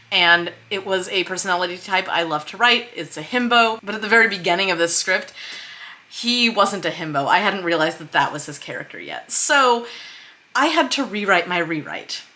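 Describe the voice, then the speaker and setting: A woman's voice: mid-range voice, sharp tone. A woman hosting a filmmaking vlog, explaining her process in a chatty way with emphasis on key words. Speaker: host of a filmmaking vlog series. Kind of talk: explanatory vlog to camera with screen share.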